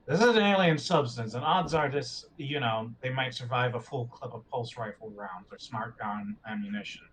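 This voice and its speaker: A man with a medium-pitched voice talks in character at a tabletop game. He is cautious, analytical and a little wordy, over faint background noise. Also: moderate pace, fairly bright tone, poor audio. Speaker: tabletop roleplaying player. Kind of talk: casual in-character discussion. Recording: home recording with faint noise.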